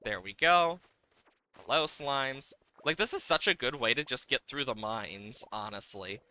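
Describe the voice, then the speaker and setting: A man's voice: medium pitch, expressive, a little slow, bright, forceful tone, clean tone. A man giving casual livestream game commentary, playfully exasperated, swearing and exclaiming at the game as he plays.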